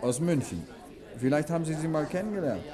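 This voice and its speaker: An actor playing a courteous, probing crime novelist and amateur detective in a 1950s German radio drama. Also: male voice, a little high, lively intonation, fairly quick, crowd noise, close to an everyday voice. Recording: vintage radio play with music behind.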